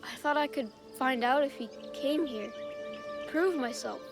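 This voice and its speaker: High-pitched, frightened child soldier. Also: female voice, over music. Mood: subdued, confessional. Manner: halting, pleading, stammering. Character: frightened, guilt-ridden child soldier, pleading peasant youth. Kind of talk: dramatic video game cutscene acting.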